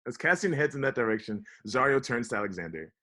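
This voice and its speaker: Mid-pitched man reading stage directions. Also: sing-song, fast, bright, forceful tone. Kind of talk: scripted narration at a comedy table read.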